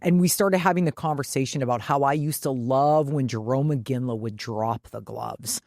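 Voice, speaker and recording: A woman's voice: a bass voice, normal speed, darkish tone, no echo, clean studio sound. A woman co-hosting a casual sports podcast, brash and salty, swearing freely. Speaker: podcast co-host. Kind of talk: casual, animated sports chat.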